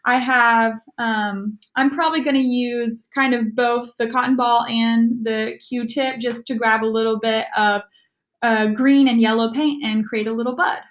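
Young American female, slightly high voice, casual craft presenter for a youth lesson. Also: fairly even intonation, measured pace. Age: young adult. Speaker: youth extension agent, activity presenter. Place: small room at home, indoors.

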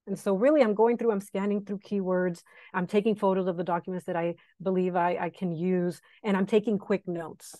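A woman's voice: fairly low pitch, a little mellow, no room sound. A woman with a Miami Cuban accent talks through an earbud mic on a video call from home. She is chatty, laughs easily and restarts her phrases as she thinks. The sound is close and dry. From Miami, Cuban-American. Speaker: guest historian. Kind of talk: academic seminar talk. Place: home room. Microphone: wired earbud mic on video call.

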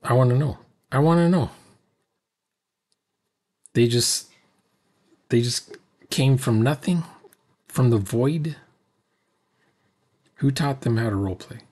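A man's voice: medium pitch, takes it slowly, pauses often, darkish tone. An older man giving casual reaction commentary, speaking emphatically and repeating phrases for effect. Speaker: commentator reacting to a recorded roleplay session.

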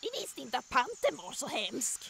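A woman voicing a squabbling, excitable little monkey in a cartoon fan dub, creaky and whiny. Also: high voice, full character voice. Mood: defensive. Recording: noisy track.

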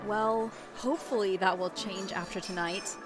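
A woman plays a worried, hard-up widowed mother in an audio drama. She is a reluctant thief with an ordinary, mid-pitched voice, and music plays clearly behind her. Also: fairly even intonation, normal speed, lightly acted. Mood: worried, weary.

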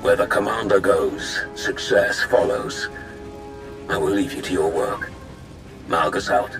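Stern, assured dark lord for a game; low-pitched, grave authority. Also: male voice, lively intonation, measured pace, vocal fry, over music, exaggerated voice. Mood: stern approval. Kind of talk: video game voice acting.

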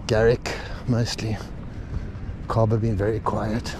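A man casually talking through a fishing walk along a rocky beach, relaxed and halting with lots of fillers, filmed on a body-worn camera outdoors. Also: on the low side. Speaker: fishing vlogger. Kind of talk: casual walking commentary. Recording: outdoor camera audio with wind and surf noise. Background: crashing waves and wind.

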